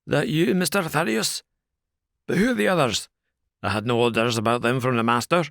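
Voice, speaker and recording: Man putting on a gruff ex-boxer porter, blunt, rough-spoken, raised and a bit higher. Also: fairly quick, a bit bright, no room sound, clean studio sound. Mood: stubborn. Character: gruff burly male ex-boxer doorkeeper.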